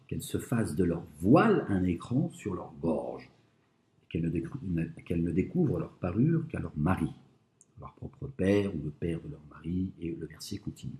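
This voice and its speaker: A man commenting on scripture to an audience, reading verses slowly in French and Arabic, polemical and repeatedly asking "d'accord?". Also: sits low, mellow, roomy sound, low-quality recording. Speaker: religious commentator. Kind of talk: speaking to an audience about scripture.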